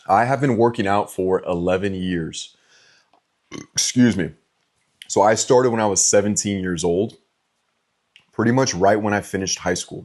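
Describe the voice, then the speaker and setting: A man in his twenties from California, answering fan questions in a relaxed, chatty way with frequent ums and likes. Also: low voice. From California, USA. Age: 20s. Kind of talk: casual Q&A vlog.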